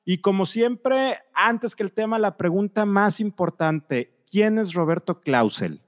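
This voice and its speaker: A man's voice: sits high, animated, a little slow. A man hosting a live online interview show, chatty and upbeat, often using fillers. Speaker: host. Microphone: video call.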